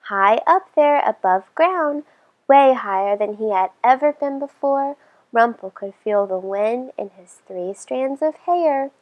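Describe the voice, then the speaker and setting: A woman reading a children's story aloud in an expressive storytelling style. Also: a little high, a little slow, highs cut off.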